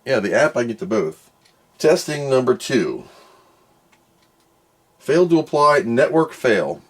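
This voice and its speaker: A man's voice: mid-range voice, very animated, measured pace. A man doing casual hands-on product commentary, muttering to himself as he works and reading out screens. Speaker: product reviewer doing an unboxing and test.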